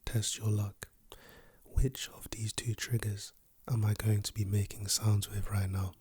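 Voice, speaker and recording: A man with a lost, hoarse voice, speaking softly and whispering in a playful, teasing way as an ASMR creator. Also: lowish voice, flat delivery, unhurried, slight background noise. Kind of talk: soft-spoken and whispered ASMR.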